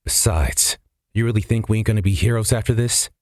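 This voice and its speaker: Man as brash, low-voiced prison guard. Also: fairly quick, darkish tone, no echo, studio quality, natural voice. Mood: cocky. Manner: blunt, colloquial.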